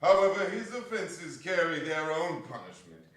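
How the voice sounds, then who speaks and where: A man playing a hearty, good-natured Victorian nephew in a dramatic reading, booming and emphatic. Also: animated, moderate pace, fairly bright tone. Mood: amiable, emphatic. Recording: echoey hall, distant mic, faint noise.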